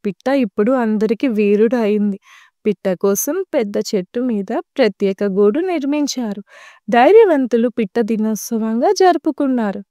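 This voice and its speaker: A woman narrating a scripted children's story in clear, simple phrasing addressed to young listeners. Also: fairly high pitch, expressive, moderate pace, warm tone, no room sound, studio quality.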